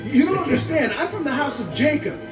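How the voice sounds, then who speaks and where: A man voicing an earnest, pleading young favored son in an animated film. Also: very high-pitched, fairly quick. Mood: desperate pleading. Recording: echoey, distant film audio with music.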